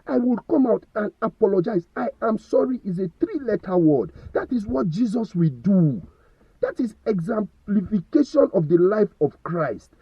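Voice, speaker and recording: A man's voice: sits high, very animated, moderate pace, breathy edge, no room sound, lo-fi sound. A Nigerian man giving impassioned opinion commentary, firing rhetorical questions and stumbling over repeated words.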